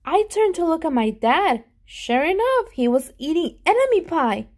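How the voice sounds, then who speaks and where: A woman reading a storybook aloud to children in an expressive, animated way, pausing to put questions to them. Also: very high voice. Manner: animated, with dramatic gasps and emphasis.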